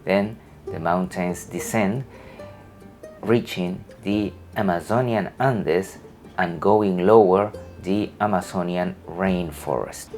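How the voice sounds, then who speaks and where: Slow, halting man. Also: fairly low pitch, a little mellow. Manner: halting, with frequent uh pauses. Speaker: psychology professor. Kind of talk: recorded lecture for students. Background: faint music.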